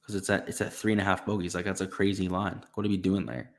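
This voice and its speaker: Slightly raspy young man, sick. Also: deep voice, flat delivery, fast talker. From Florida. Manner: casual, thinking aloud with fillers like "right" and "you know". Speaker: sports betting stream host. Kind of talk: casual live-stream talk.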